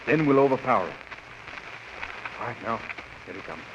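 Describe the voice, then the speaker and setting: Brave Earthman adventurer male actor, animated swings of pitch, vintage radio drama, dull noisy recording. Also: normal speed, lightly acted. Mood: determined. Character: bold young heroic Earthman adventurer, brave and defiant. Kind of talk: 1930s radio serial acting.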